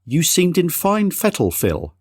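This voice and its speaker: A man's voice: fairly high pitch, wildly swooping pitch, a little slow, husky. A middle-aged man hosting a horse racing podcast in an easygoing, chatty way. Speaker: podcast host. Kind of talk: casual podcast chat.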